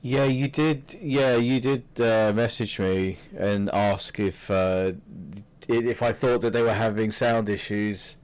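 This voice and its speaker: A young man co-hosting a podcast, chatting about wrestling in a halting way with many restarts. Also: on the low side, clean tone. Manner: hesitant, many restarts and fillers. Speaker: podcast co-host. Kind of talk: casual wrestling chat.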